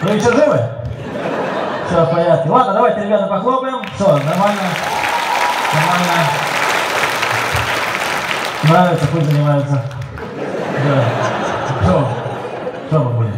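A man's voice: high-pitched, lively intonation, unhurried, pauses often. A young man doing stand-up crowd work, mocking the front row bluntly and swearing a lot. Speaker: stand-up comedian. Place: large dark theater stage. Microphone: handheld mic, filmed from far. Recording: echoey hall, distant, loud crowd. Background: audience laughter and noise.

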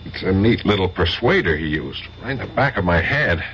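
A tough, wary American detective in a 1970s radio play. His voice is deep and lively, with sardonic, clipped delivery, on a muffled old recording. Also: brisk. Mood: groggy, demanding. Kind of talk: 1970s radio drama acting. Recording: dull vintage radio recording, faint hiss.

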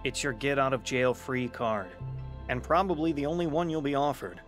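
A man's voice: medium pitch, steady tone, normal speed, natural voice. An actor playing a man who confidently offers a crooked legal deal in a radio drama. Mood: persuasive. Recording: music under the voice.

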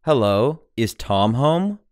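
A man's voice: medium pitch, sing-song, takes it slowly, no room sound, studio quality. A man with a General American accent reading English phrases for learners, saying each one normally, then word by word. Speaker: English teacher. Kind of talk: slow language-drill reading.

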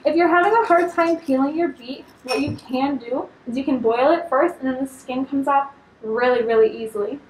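A woman's voice: high-pitched, normal speed, a little hiss or hum. A young woman giving an upbeat, instructive cooking demonstration in a large kitchen, talking as she works with food. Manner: upbeat, instructive, talks while handling food. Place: large studio kitchen indoors. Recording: echoey kitchen, mic a bit distant.

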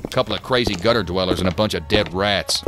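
Man playing a very deep-voiced, sardonic federal agent. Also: fast, close to an everyday voice. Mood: bitter, sarcastic. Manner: gruff, sarcastic, clipped orders. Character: bitter, sardonic male federal agent, grudge-holding. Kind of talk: audio drama acting. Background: music.

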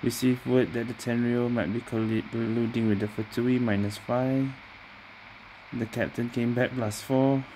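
A woman doing casual, low-key gameplay commentary, murmuring bits of text as she reads along. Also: fairly even intonation, normal speed, warm tone, slight background noise. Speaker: game streamer. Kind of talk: casual gameplay commentary, half reading aloud. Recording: faint game music behind.

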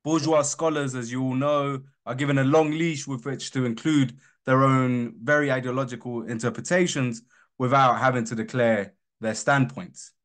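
A young man from Colombia with a British accent, presenting his research in a measured, earnest academic talk. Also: medium pitch, fairly even intonation, a bit bright.

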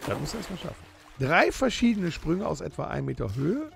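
A man's voice: on the high side, sing-song, fairly quick, gravelly, faint music behind. A man co-hosting a comedic improvised audio play, reading out rules with eager, insistent emphasis. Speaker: podcast co-host.

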